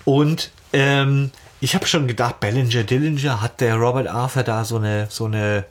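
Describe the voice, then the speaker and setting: A man's voice: medium pitch, fairly even intonation, normal speed. A man hosting a podcast in casual chat, thinking aloud as he goes in a discursive way. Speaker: podcast host.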